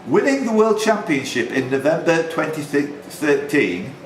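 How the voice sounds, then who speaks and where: A man's voice: on the high side, big pitch swings, a little slow. A man hosting a pub quiz, reading questions aloud with chatty, teasing, jokey asides. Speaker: quiz host, question reader. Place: tavern quiz room. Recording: roomy, faintly noisy live venue recording.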